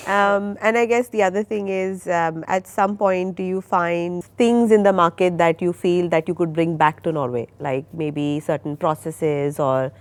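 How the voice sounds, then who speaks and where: A woman with a slightly low-pitched voice steers a panel discussion with founders. Her questions are long and winding, stringing several thoughts together before trailing off and leaving room for an answer. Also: fairly even intonation, unhurried, hardly any pauses, clean tone, dry recording. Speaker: panel moderator. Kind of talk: moderating a panel.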